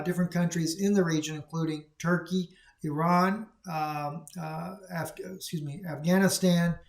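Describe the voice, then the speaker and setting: High-voiced man giving emphatic commentary. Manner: rambling, emphatic, frequent uh fillers. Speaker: online news commentator. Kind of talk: opinionated solo commentary. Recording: echoey room recording.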